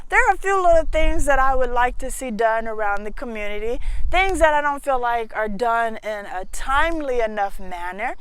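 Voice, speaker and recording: An American woman from Houston, Texas, hosting her own talk show, telling personal stories in an emphatic, expressive, informal way and repeating phrases for effect. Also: fairly high pitch, smooth, poor audio. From Houston, Texas, United States. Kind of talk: informal opinionated personal storytelling to viewers.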